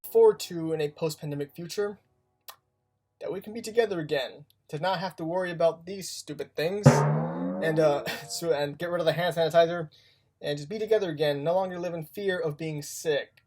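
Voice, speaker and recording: A man's voice: fairly high pitch, fairly quick. A young man speaking casually and encouragingly in an interview. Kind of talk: casual motivational interview talk. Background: loud guitar music.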